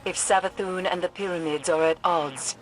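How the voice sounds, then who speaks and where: A woman playing a stern, cryptic mystic in a video game, with grave, formal, deliberate phrasing. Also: lowish voice, fairly even intonation, faint music behind, natural voice, low-quality recording. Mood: solemn, guarded.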